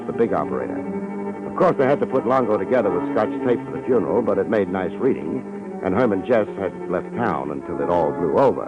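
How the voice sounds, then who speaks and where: A world-weary private eye, played by a male actor, tough and deadpan, with a lowish voice. He tells his case in hard-boiled wisecracks, in the manner of a 1950s radio drama. The old recording is slightly dull, with music behind. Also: lightly acted. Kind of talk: 1950s radio detective drama acting and narration.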